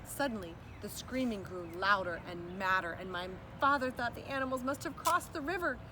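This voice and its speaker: A woman reading a children's book aloud as an expressive narrator. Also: on the high side, moderate pace. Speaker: children's story reader. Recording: distant mic with clear background noise.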